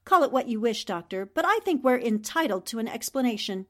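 A woman's voice: on the high side, animated, a little fast, close to an everyday voice. A woman playing a poised, intelligent newspaper columnist heroine, polite but firm and articulate, in 1940s radio drama style. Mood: defiant.

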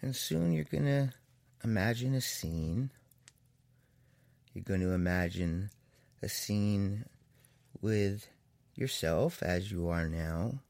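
A man with a deep voice and a gravelly fry leads a guided meditation, very slowly and soothingly. He leaves long pauses between short phrases, and the recording is dry and close. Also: lively intonation, a little mellow, no room sound.

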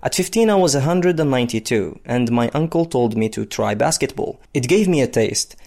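Congolese man in his thirties, conversational first-person storytelling, mid-pitched voice. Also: expressive, a little fast, vocal fry, a little mellow. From Democratic Republic of Congo. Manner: conversational, with frequent fillers. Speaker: interviewee, basketball player.

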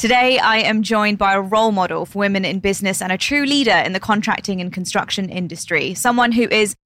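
A woman's voice: medium pitch, quick pace, fairly bright tone, dry recording. A woman hosting an interview podcast, welcoming her guest and asking about her upbringing with frequent affirmations. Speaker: podcast host.

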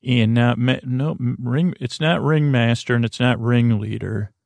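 A man hosting a sleep podcast in a creaky, gravelly voice, rambling casually and whimsically, often halting and interrupting himself as he drifts off on tangents. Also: medium pitch, darkish tone, dry recording. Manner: meandering, self-interrupting, halting with trailing tangents; whimsical, mildly absurd. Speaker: podcast host of bedtime stories. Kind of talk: rambling casual monologue meant to lull listeners to sleep.

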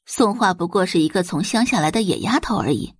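A woman reading scripted narration for an audio drama in an expressive storytelling style. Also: lowish voice, a little fast, breathy edge, no room sound, studio quality.

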